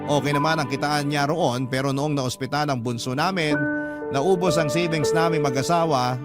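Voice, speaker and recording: Male radio-style narrator, slightly high voice, scripted storytelling, loud music underneath. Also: steady tone, moderate pace. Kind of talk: scripted first-person storytelling, reading a letter.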